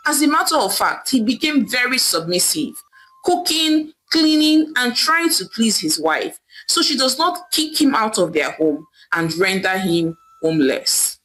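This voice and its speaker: Expressive woman storyteller, lively swooping pitch. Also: unhurried, low-quality recording. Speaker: storyteller, narrator. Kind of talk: scripted folktale narration.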